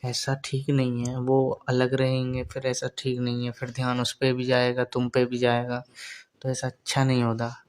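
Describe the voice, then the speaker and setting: A man's voice: medium pitch, completely flat delivery, normal speed, clear, resonant tone. A middle-aged man in a casual personal call, talking in a teasing way and often repeating his phrases.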